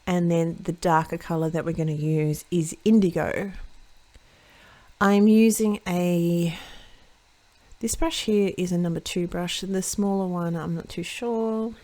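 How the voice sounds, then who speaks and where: A woman with a lowish, slightly croaky voice talks through a watercolour lesson in a relaxed, chatty way. She thinks out loud, catches her own slips and keeps things friendly as she paints. The sound is close and dry, with no room around it. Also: unhurried, no room sound. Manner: chatty, self-correcting, thinks aloud while painting. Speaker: card-making tutorial host. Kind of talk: casual step-by-step craft demonstration.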